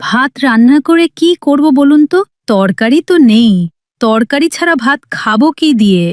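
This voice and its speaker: A woman's voice: high-pitched, expressive, normal speed, no echo, clean studio sound. A woman playing a patient, devout wife and mother in a cartoon, speaking earnestly and reassuringly. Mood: worried. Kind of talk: cartoon voice acting.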